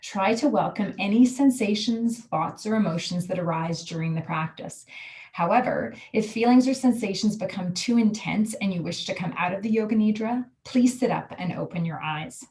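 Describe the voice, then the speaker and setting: A woman guiding a relaxation meditation, giving calm, soothing, measured instructions. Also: medium pitch, low-quality recording. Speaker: yoga nidra guide.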